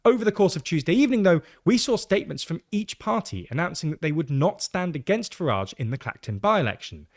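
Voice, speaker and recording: A man's voice: a little high, very animated, brisk. A man reading scripted narration for a news explainer.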